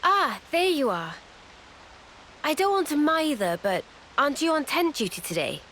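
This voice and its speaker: High-voiced caring young comrade. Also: female voice, very animated, moderate pace, a bit bright, slight background noise. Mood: friendly. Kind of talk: video game cutscene acting.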